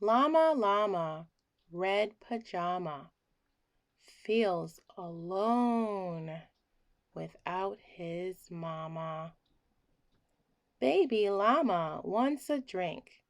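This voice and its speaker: Slow, animated woman reading a storybook. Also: mid-range voice. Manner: theatrical, acts out emotions in the words. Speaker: children's storybook reader. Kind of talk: expressive bedtime read-aloud.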